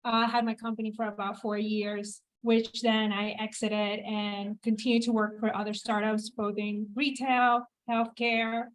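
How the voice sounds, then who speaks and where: A woman's voice: on the high side, little pitch movement, a little slow, few pauses, low-quality recording. A woman from Venezuela introducing herself as a founder on a live panel, speaking with some hesitant fillers. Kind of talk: panel discussion. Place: event space with a live audience.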